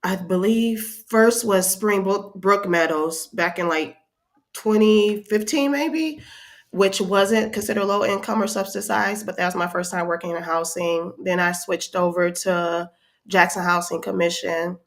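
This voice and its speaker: A woman with a Midwest American accent and a stuffed-up cold voice answers interview questions. Her voice sits on the low side for a woman, and she explains things steadily and kindly, pausing on "um". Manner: friendly, explanatory, with "um" fillers. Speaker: housing counselor, podcast guest. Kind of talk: casual interview talk.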